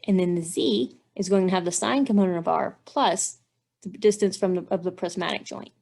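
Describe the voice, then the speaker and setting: A woman's voice: fairly low pitch, a little fast, vocal fry, darkish tone. A woman engineering instructor giving a methodical, step-by-step walkthrough, thinking aloud as she works through math.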